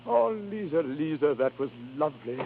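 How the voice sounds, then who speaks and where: An actor playing an excitable, flustered romantic husband in a 1930s radio drama, recorded through tinny, noisy old broadcast sound. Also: male voice, on the high side, moderate pace. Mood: tender.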